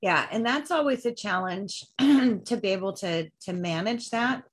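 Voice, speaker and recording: A woman's voice: medium pitch, unhurried, slightly rough. A woman hosts a chatty interview, steering with questions and affirming her guest. Her delivery is conversational and supportive. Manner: encouraging, asks leading questions. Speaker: podcast host. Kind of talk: casual interview talk.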